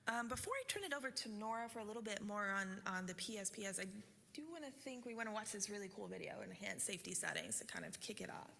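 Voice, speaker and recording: A woman's voice: medium pitch, fast talker, vocal fry, pushed and bright. A woman from a utility company presenting at a public forum, casual, with lots of fillers and "right?" check-ins. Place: large meeting hall. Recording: reverberant hall.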